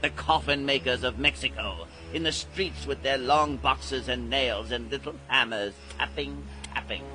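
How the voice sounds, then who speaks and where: An actor playing an eerie, theatrical guide to boys on Halloween night, declaiming in a dramatized audiobook. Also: male voice, medium pitch, unhurried, few pauses, sharp tone, faint music behind, rough recording. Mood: ominous, urgent. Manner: declamatory, incantatory, commanding.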